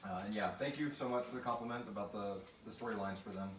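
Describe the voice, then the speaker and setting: Male host, casual and rambling, live group chat feel, distant echoey sound with clear background noise. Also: on the low side, quick pace. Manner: rambling, informal, thinking aloud. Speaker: host and show creator reading listener questions.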